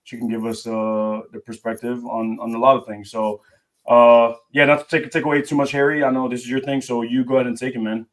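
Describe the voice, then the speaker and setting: A man co-hosting a casual podcast, talking sports and debate in an animated way and saying "bro" a lot. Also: medium pitch, brisk. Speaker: podcast co-host.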